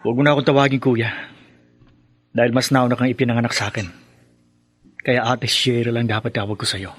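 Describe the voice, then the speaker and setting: A man's voice: mid-range voice, little pitch movement, fairly quick, a bit bright, dry recording, natural voice. A man acting a blunt, exasperated young brother in a Filipino radio drama. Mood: dry, subdued.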